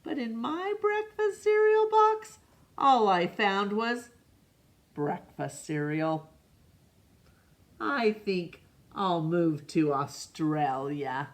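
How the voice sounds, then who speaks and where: A woman voicing an aggrieved, dramatic young boy who complains about his awful day in a picture book, with emphatic, drawn-out words. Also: medium pitch, expressive. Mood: indignant.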